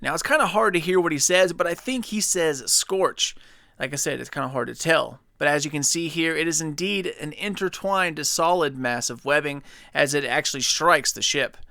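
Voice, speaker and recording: A man's voice: a little high, moderate pace, vocal fry, sharp tone, slight background noise. A young man hosting a gaming channel, chatting enthusiastically and speculating as he breaks down a trailer. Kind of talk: casual video commentary.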